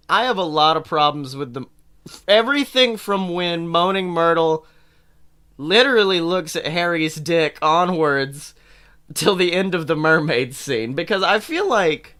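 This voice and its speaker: A man co-hosting a casual film chat podcast, talking in a jokey, animated way and swearing freely. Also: sits high. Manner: animated, jokey, acts out imagined dialogue. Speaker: podcast co-host. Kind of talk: casual chat about films.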